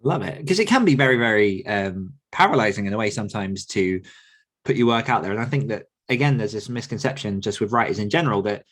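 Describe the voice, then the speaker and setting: A man's voice: lowish voice, lively intonation, fast, a bit bright. A man hosting a casual podcast interview, talking in an encouraging, conversational way. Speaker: podcast host and writing coach.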